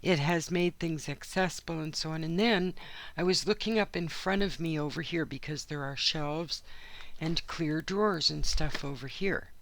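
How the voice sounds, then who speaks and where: A woman with a hoarse, worn-out voice talks casually as she plays in a journal at her craft table. She rambles from one aside to the next, filling the gaps with ums, in the easy manner of a regular channel host. The sound comes from her home craft studio. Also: deep voice, moderate pace, fairly bright tone. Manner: rambling, chatty, frequent asides and fillers. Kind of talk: casual chat while crafting.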